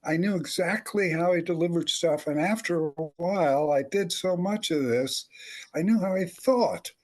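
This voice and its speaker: A man's voice: a little high, moderate pace, darkish tone. An older man, a comedy writer on a panel, telling anecdotes in a wry, rambling, chuckling way. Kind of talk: animated anecdotal panel talk.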